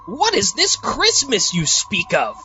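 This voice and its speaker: A woman's voice: mid-range voice, wildly swooping pitch, slow, pushed and bright, dull audio, faint music behind, exaggerated voice. A woman playing an eccentric, clueless, cheerfully oblivious doctor with exaggerated, theatrical delivery in a comic audio drama. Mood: puzzled, earnest.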